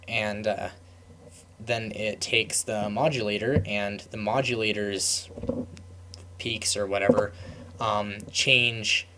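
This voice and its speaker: A young man giving a casual, unscripted software tutorial, rambling and trailing off mid-thought in an informal way. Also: on the low side, a little hiss or hum.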